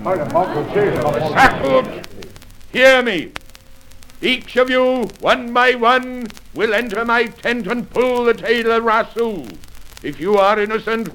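Proud, commanding desert chieftain, old radio. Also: male voice, high-pitched, a bit bright, heavily acted. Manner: pompous, formal archaic diction. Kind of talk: 1940s radio drama acting. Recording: noisy old radio recording with clicks.

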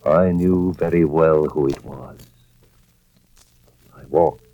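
An actor playing a weary, anxious husband who narrates a suspense tale in measured, dramatic 1940s-50s radio style. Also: male voice, a bass voice, steady tone. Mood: tense, brooding. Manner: measured, dramatic first-person storytelling. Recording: muffled vintage radio recording with music.

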